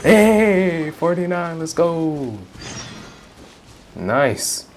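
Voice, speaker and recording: A man's voice: a little high, big pitch swings, extremely slow, faint music behind. A man casually commenting while playing a story game, reacting with amusement. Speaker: gameplay streamer. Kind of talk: casual commentary over gameplay.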